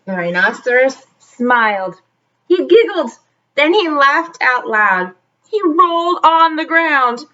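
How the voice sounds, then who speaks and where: A woman reads a children's story in a high, bright voice. She goes slowly and expressively, stopping for chatty asides to the children. The recording is slightly dull. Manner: expressive, talks directly to young listeners. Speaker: teacher reading a picture book aloud.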